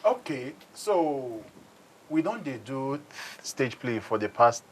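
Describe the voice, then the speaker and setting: A man's voice: mid-range voice, very animated, slow, a little hiss or hum. A man with a Nigerian accent talking casually as an interview guest, repeating phrases for emphasis. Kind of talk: casual conversation.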